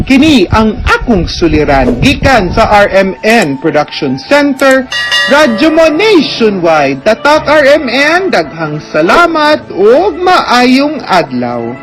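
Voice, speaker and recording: A woman's voice: on the high side, very animated, slow, rough recording. A woman with a Cebuano Filipino accent explains things as a legal advice host on radio, conversational, checking in with the listener and mixing in English. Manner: explanatory, with frequent tag questions.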